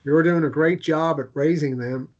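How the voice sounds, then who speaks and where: A man performs a warm-hearted man in a gentle romance drama. His voice sits high for a man, and he speaks with open, slightly halting sincerity. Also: lo-fi sound, close to an everyday voice. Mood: soft, sincere. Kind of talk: table read of a romance drama.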